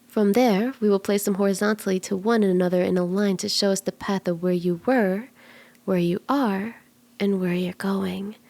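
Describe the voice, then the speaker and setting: A woman voicing a flirty, soothing kitsune fortune teller in an ASMR roleplay, speaking gently and coaxingly, at times in breathy whispers. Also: mid-range voice, steady tone, moderate pace, dry recording, lightly acted. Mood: gentle, instructive. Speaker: tarot reader.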